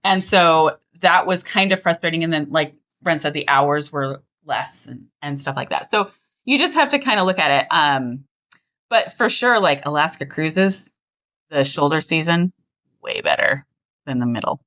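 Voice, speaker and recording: A woman's voice: deep voice, expressive, normal speed, fairly bright tone, lo-fi sound. A middle-aged American woman hosting a podcast in a casual, chatty, rambling way. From US West Coast. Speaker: podcast host.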